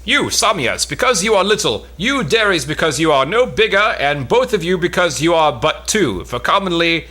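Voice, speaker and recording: A man's voice: on the high side, very animated, moderate pace, hardly any pauses, pushed and bright, heavily acted. A man playing a boastful, bombastic mock-warrior knight in a pompous, declamatory amateur reading of an old stage play.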